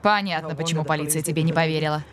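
A woman's voice: low voice, fairly even intonation, rapid-fire, a crowd in the background, natural voice. A woman voicing a brusque, sarcastic working-class character in a Russian dub of a TV comedy-drama. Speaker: dubbing actress.

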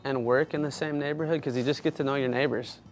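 A man's voice: mid-range voice, steady tone, quick pace, soft background music, low-quality recording. A man in his thirties talking earnestly and upbeat about his furniture business in a casual interview, recorded in a workshop on a lapel mic. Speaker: small business owner.

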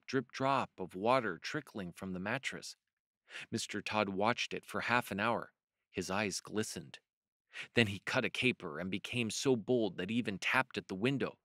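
A man narrating a children's storybook as host, measured and expressive. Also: fairly low pitch, no echo, studio quality.

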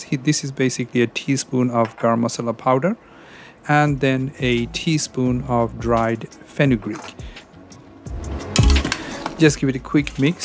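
A man narrating his cooking video in a casual, hesitant way, with many ums and uhs. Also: mid-range voice. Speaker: cooking channel host.

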